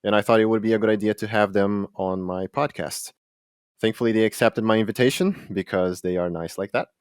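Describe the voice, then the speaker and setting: A man's voice: fairly low pitch, a little fast, clear, resonant tone, dry recording, studio quality. A man hosting a podcast, a game translator whose first language is Brazilian Portuguese, chatting in a friendly, enthusiastic way. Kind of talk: casual podcast interview.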